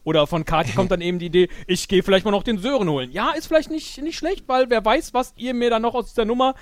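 A man in an excitable, casual debrief chat who acts out his remembered thoughts as he talks. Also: high-pitched, fast talker, dry recording. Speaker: podcast guest and improv player.

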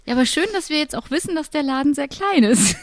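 A woman with a somewhat high voice chats informally as a co-host. She talks colloquially and with emphasis, and there is faint noise in the recording. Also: very animated, fast. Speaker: podcast co-host. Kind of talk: casual chat.

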